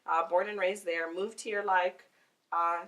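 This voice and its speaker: A woman's voice: on the low side, steady tone, unhurried, a bit bright, distant mic, poor audio. Woman telling a story. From South Africa. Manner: chatty storyteller, laughs often. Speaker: guest. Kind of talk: casual interview chat.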